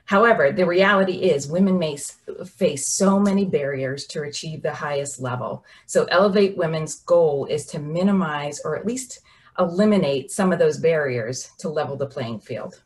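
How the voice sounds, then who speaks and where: A woman's voice: sits low, moderate pace. A woman in her forties hosting an interview over a video call from a home office, reading prepared remarks in a polished, upbeat way. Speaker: host. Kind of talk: scripted introduction and interview.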